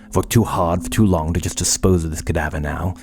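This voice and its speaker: An actor playing an intense, impatient, obsessive young medical scientist in a horror audio drama, with formal, arrogant diction. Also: male voice, low-pitched, steady tone, fast talker, a little mellow, lightly acted. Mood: frantic, determined.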